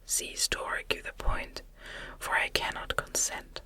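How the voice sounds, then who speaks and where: A woman voicing a stern, reproachful male creator of a monster in a gothic storybook reading, formal and admonishing in a breathy whisper. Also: measured pace, pushed and bright. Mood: wary, refusing.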